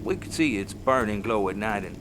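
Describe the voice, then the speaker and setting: An actor playing a folksy patriarch in an audio drama, telling his story in a dramatic, deliberate way. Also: on the low side, lively intonation, noisy background, natural voice. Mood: grave, haunted. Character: folksy Texan patriarch haunted by wartime hardship. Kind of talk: audio comedy drama acting, storytelling monologue. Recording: music underneath.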